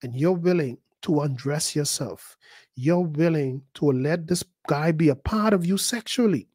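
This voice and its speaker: A man, a pastor preaching a sermon, emphatic and repeating words, his pitch jumping high at key points. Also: a little slow, a little mellow, breathy edge, no echo, studio quality. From the Bahamas. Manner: repeats words and acts out quoted voices.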